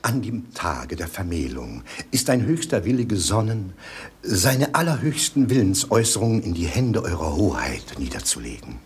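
A fussy, servile court official in a 1950s radio play. His voice is lowish and very rough and husky, with wide swings of pitch. He speaks in stilted, pompous formalities. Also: very animated, moderate pace, heavily acted.

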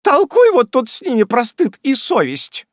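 A man voicing a furious middle-aged landlady with a shrill, screeching voice. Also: sing-song, normal speed, no room sound, studio quality. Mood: furious shrieking.

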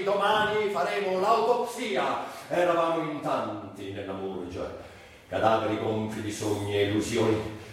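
An actor playing a tormented, delirious man narrating his own death in a declamatory radio-theatre monologue that moves between hushed and shouted delivery. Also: fairly high pitch, a little hiss or hum, close to an everyday voice. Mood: mocking, euphoric, then bleak, brooding. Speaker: stage actor. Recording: very echoey, distant, music behind.

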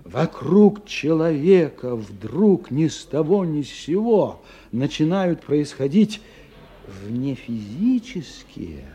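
A man's voice: a little high, big pitch swings, slow pace, dark tone, breathy edge, full character voice. A man acting in a radio drama as a tipsy, learned scientist who lectures emphatically, stammering and repeating himself. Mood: excited, tipsy. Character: excitable, tipsy, learned male scientist explaining mysteries.

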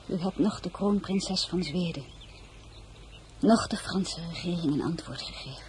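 An actress playing an earnest, emotional young crown princess in a radio play, speaking softly and wistfully, then urgently. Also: female voice, on the low side, measured pace, lightly acted. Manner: soft and wistful, turning urgent and pleading. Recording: noisy old radio play recording.

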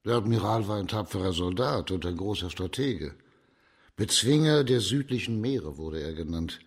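A man playing an authoritative, shrewd judge in a radio crime drama, speaking formal in a measured, commanding way. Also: sits low, animated, slightly rough, full character voice. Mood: lofty, commanding. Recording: reverberant hall.

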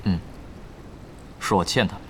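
An actor playing a proud, driven young esports captain in an audio drama, expressive and dryly sardonic. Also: male voice, unhurried, noisy background, close to an everyday voice. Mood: subdued, resolute. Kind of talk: Mandarin audio drama acting. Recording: dramatic music bed behind the voice. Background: prominent background music.

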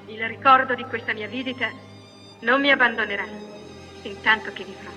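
A woman's voice: fairly high pitch, steady tone, brisk, sharp tone, faint music behind. A woman playing a gracious young royal lady, speaking formally and politely in old film dialogue. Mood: gracious, wistful.

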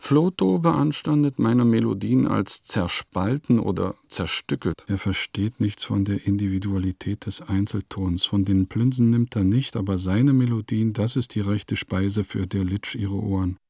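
An actor playing a grumpy, self-important provincial composer in a radio play, grumbling through his diary in pompous, deliberate phrases. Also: fairly low pitch, gravelly, dark tone, no room sound, studio quality. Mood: indignant, mocking.

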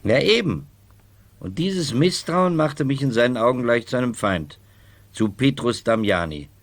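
Ein Schauspieler spielt in einem Hörspiel der Siebziger einen skeptischen, reizbaren Herrn der feinen Gesellschaft, der trocken widerspricht. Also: male voice, medium pitch, lively intonation, unhurried, no echo. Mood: defensive. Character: sceptical, irritable gentleman lover of a marchesa. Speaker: radio drama actor. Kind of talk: 1970s radio drama acting.

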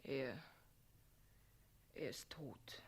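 An actress playing a grieving, withdrawn young bride in a 1960s radio play, speaking in terse, halting phrases. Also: female voice, very deep voice, whispered, exaggerated voice.

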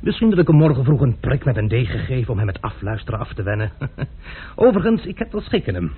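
An actor playing a worldly nobleman and swordsman who speaks in an ironic, lordly, amused way with a husky voice, heard on a tinny old radio recording. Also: medium pitch, big pitch swings, fast, a little hiss or hum, natural voice.

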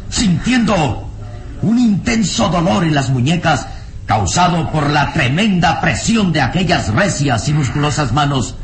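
A man narrating an old radio serial in a solemn, suspenseful, emphatic scripted style. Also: on the high side. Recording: roomy, noisy old radio drama recording.

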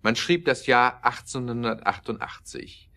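A man narrating an audio drama with scripted, expressive storytelling delivery. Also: medium pitch, a little slow, vocal fry.

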